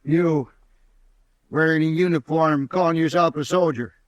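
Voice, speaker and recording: A man's voice: fairly high pitch, unhurried, dry recording, close to an everyday voice. Belligerent frontier bettor played by a man, coarse drawl, dry mic. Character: rough Civil War veteran horse bettor, belligerent. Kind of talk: 1950s radio drama acting.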